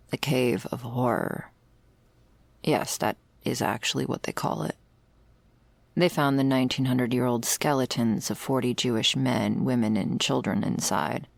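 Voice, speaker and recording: A husky woman with a fry-laden voice, acted out by a woman as a jaded vampire investigator in an audio roleplay. She confides dark lore with dry, sardonic weariness, close to the mic in a very dry space. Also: no room sound, heavily acted. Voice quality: husky, creaky low voice. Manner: dry, sardonic, swearing, hushed in places. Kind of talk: ASMR roleplay, intimate dramatic monologue.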